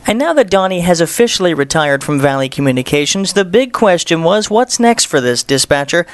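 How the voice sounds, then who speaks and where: A man with a Canadian accent reading scripted news narration as a reporter, in a measured, presentational way. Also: on the high side, animated, no echo.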